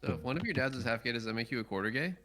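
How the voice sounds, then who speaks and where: A man on a casual co-stream, teasing his co-host with quick comebacks. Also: mid-range voice, animated, bright, forceful tone, faint music behind.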